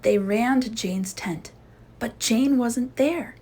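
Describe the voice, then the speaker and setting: Slow, slightly husky woman storyteller. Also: a little high. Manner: expressive, suspenseful storytelling. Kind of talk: children's storybook read-aloud.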